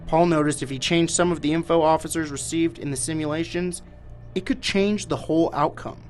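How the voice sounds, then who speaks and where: American man, TV reporter, scripted narration, slightly high voice, music underneath. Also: steady tone, a little fast. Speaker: TV news reporter.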